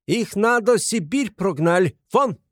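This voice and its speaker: A man's voice: high voice, animated, slow, no echo, clean studio sound, voice pushed up. A man voicing an outraged German landlady in an audiobook, screeching in broken phrases with a German accent. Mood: screeching outrage. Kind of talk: dramatized literary audiobook.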